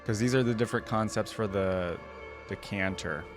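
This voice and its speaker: A man's voice: lowish voice, normal speed, music in the background. A man giving casual reaction commentary as the host of a video.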